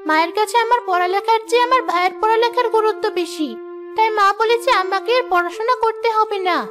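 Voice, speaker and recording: A young cartoon schoolgirl with a very high, childlike voice. She sounds earnest and easily hurt, and music plays behind her. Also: quick pace. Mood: sad. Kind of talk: cartoon voice acting.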